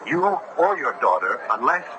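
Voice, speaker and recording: An actor playing an earnest, grave judge in a 1970s radio drama, speaking with formal, dramatic diction. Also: male voice, medium pitch, big pitch swings, normal speed, close to an everyday voice. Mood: urgent. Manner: oratorical, dramatic, formal old-fashioned diction. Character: earnest, grave young judge recounting a haunting. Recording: noisy old radio broadcast recording.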